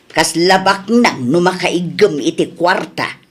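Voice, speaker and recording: A woman playing a spendthrift, sharp-tongued wife in an Ilocano comedy radio drama, with an Ilocano accent and a teasing, bickering way of talking. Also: fairly low pitch, takes it slowly, breathy edge. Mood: casual, teasing.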